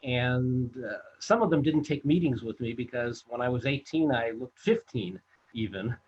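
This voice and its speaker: Husky older man telling stories haltingly. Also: medium pitch, lively intonation, a little mellow, highs cut off. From United States. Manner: halting, many fillers and restarts. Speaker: film director and producer, guest. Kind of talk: casual interview storytelling.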